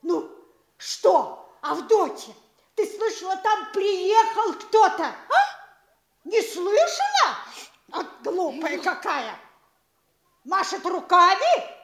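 A vain, excitable mayor's wife in a classic stage radio play, scolding and badgering in shrill bursts. Her very high voice leaps about wildly, delivered in an echoey room. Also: slow, pauses often, full character voice. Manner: scolding, breathless, shrill exclamations.

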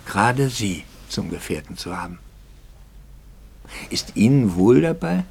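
A gravelly, anguished priest. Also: male voice, mid-range voice. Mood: impassioned. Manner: brooding, swings from hushed whispers to impassioned outbursts. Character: troubled male priest, middle-aged, anguished. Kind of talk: 1950s radio drama acting.

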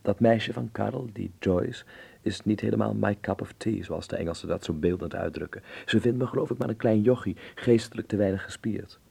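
An actor playing a young, ironic Dutchman in a radio drama, speaking articulately with a gravelly, husky voice. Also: lowish voice, brisk, warm tone, exaggerated voice. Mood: reflective, wry. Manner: wry, articulate. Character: young, ironic, sensitive Dutchman living in 1930s Berlin. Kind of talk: radio drama acting and narration.

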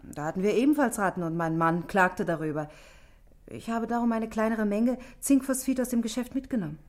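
An actress playing a self-possessed, guarded married shop manageress in a radio drama, speaking precisely and defensively. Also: female voice, mid-range voice, brisk, natural voice. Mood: cool, guarded. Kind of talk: 1960s German radio drama acting.

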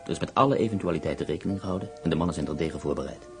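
An actor playing a calculating German prisoner who plans an escape in a radio play. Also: male voice, a bass voice, very fast, over music. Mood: scheming. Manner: calm, calculating. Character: cunning German POW escape planner.

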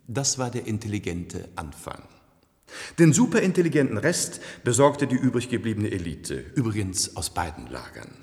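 Smug cultured elite ruler, low voice, theatrical swooping delivery, long hall reverb, vintage German radio drama. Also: male voice, big pitch swings, a little mellow. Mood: proud lecturing. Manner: suave, condescending, measured and theatrical. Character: complacent, cultured male ruler of a dystopian future elite. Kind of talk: 1970s German radio play acting.

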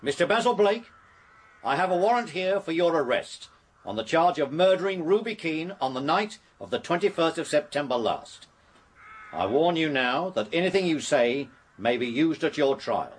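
An actor playing a stern, formal police officer in a radio drama. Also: male voice, on the high side, very animated, normal speed, husky. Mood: formal, official.

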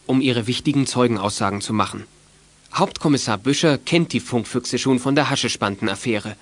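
A man's voice: medium pitch, no echo. A man narrating a youth detective audio drama as a scripted storyteller.

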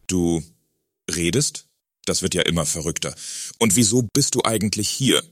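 An astonished earthman played by a man in a radio satire. He has a lowish voice in a dry, close recording and speaks with open disbelief. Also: expressive, normal speed, slightly rough, dry recording. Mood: amazed. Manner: incredulous. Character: astonished earthman meeting a Martian.

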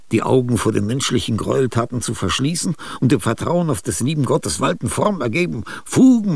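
An actor in a radio drama playing a brooding aging musician obsessed with death, speaking slowly and reflectively in a gravelly, husky voice. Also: male voice, medium pitch, no echo, far from the mic, full character voice. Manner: slow, reflective, philosophical.

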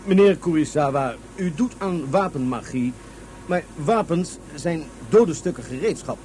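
A thoughtful man in a radio drama. His voice is slightly high, formal and pointed, as one who argues his view. A faint buzzing lies behind him. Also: animated, measured pace, darkish tone, a little hiss or hum. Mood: argumentative. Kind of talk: Dutch radio drama acting.

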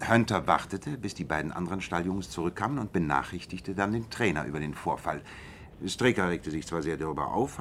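Deep voiced detective, self-assured and precise, radio play storytelling, bit of rumbly noise. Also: male voice, fairly bright tone. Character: keen, self-assured male detective recounting a case. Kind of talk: German radio play acting, narrative.

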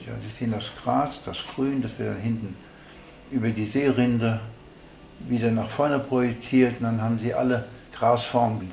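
Guy thinking out loud and explaining stuff in bits, echoey room, faint noise. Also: mid-range voice. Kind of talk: spontaneous explanatory talk. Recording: roomy sound with faint noise.

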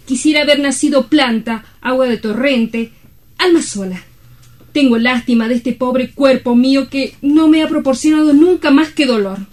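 Woman acting high-voiced melancholy romantic lady. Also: darkish tone. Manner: wistful, affected, dramatic. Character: melancholy romantic lady, dramatic and world-weary. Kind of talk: theatrical dialogue from a comic stage play.

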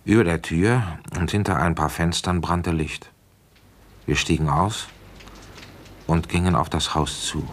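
An actor playing a middle-aged village police inspector in a German radio play, measured and matter-of-fact. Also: male voice, deep voice, slight background noise. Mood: sober, reflective. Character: seasoned male village police inspector telling his case.

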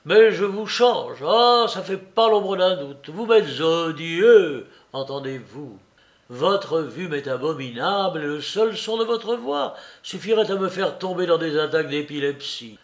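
A man's voice: fairly high pitch, animated, measured pace, fairly bright tone. A man voicing an exasperated, sarcastic young clerk in his twenties in a storybook reading. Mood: furious. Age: 20s.

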